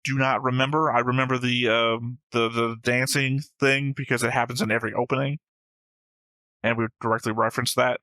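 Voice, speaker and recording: Bright-voiced man bantering on a reaction show. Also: mid-range voice, little pitch movement, a little fast, no room sound. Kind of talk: casual banter and comment reading.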